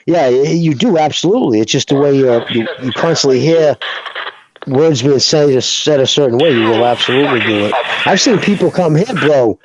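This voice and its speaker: A man co-hosting a boxing podcast, talking in loose, profane, animated banter. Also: mid-range voice, moderate pace, a crowd in the background, rough recording. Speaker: podcast co-host. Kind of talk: casual chat.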